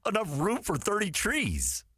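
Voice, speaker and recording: A man's voice: high voice, huge pitch swings, unhurried, sharp tone, breathy edge, dry recording, studio quality. A man, a sports radio sidekick, dropping casual, teasing remarks into the banter.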